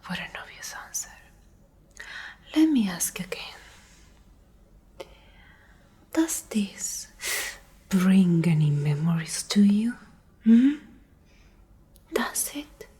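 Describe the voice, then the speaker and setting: Breathy, whispering, domineering female Alpha played by a woman. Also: medium pitch, sing-song, warm tone, full character voice. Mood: taunting, menacing. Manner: slow, deliberate, condescending. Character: domineering, menacing female pack Alpha, coldly possessive captor. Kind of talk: soft-spoken whispered ASMR roleplay.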